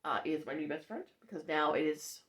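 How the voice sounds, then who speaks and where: A young woman with a gravelly, hoarse voice from allergies, talking in a chatty, matter-of-fact way in a casual vlog from a farmhouse bedroom. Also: sits low, expressive, fairly quick, a bit bright. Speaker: vlogger.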